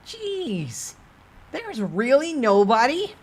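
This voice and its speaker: A tiny mischievous spirit pitched far up, swinging wildly in tone. It taunts like a gleeful merchant in a storybook reading, with some noise behind. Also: male voice, wildly swooping pitch, drawn-out words, heavily acted. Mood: mocking glee. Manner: sing-song, taunting.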